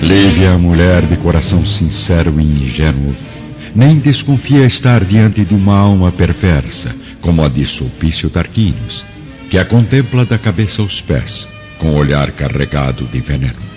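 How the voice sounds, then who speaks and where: A man's voice: low-pitched, lively intonation, normal speed, a little mellow. A man with a Brazilian accent giving dramatic scripted narration for a radio drama. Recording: dull lo-fi audio with music.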